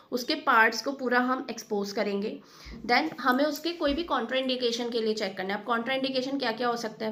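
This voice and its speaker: A woman's voice: fairly high pitch, fairly bright tone, slight background noise. A woman with an Indian accent giving an informal explanatory lecture as a teacher, mixing in English technical terms and often checking in. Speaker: physiotherapy teacher.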